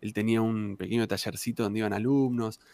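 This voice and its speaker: Gravelly Argentine man telling stories. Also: on the low side, steady tone, brisk, dark tone, no echo. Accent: Argentine Spanish. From Argentina, Santa Cruz province. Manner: rambling, chatty, many fillers and tag questions. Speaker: tango singer, interview guest. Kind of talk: casual interview storytelling.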